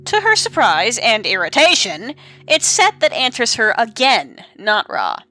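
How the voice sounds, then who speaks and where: A woman narrates a dramatic story with suspense. Her voice is bright and clear-edged, with animated pitch, over a faint bed of music. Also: unhurried. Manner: expressive, suspenseful.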